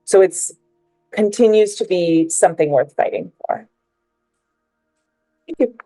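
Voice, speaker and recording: A woman's voice: medium pitch, a little slow, mellow, no echo, soft background music, low-quality recording. A woman giving prepared, persuasive remarks as a panelist at a book presentation, joining remotely.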